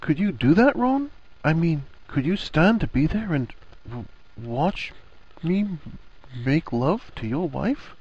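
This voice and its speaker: A man voicing an anxious young man who talks in a halting, stammering way in a story reading. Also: a little high, huge pitch swings, darkish tone, slightly rough, lo-fi sound, pitched above the performer's own voice, full character voice.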